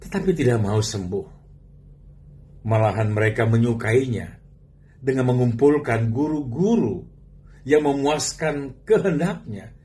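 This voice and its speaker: A man delivers a sermon as a preacher, using rhetorical questions and emphatic, drawn-out phrasing. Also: medium pitch, darkish tone.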